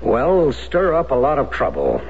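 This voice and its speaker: Hedging consul official, gravelly, lively pitch, diplomatic fillers, hissy lo-fi radio. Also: male voice, unhurried. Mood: evasive. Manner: hesitant, diplomatic, full of fillers. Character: evasive male American consular official. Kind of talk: 1970s radio drama acting. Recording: muffled lo-fi radio broadcast with hiss.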